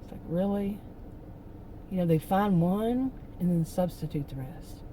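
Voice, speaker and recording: Middle-aged woman vlogger, hoarse, dark low voice, chatty and rambling. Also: measured pace, slight background noise, rough recording. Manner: rambling, venting, with many restarts. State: hoarse, losing her voice. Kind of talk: casual rambling chat.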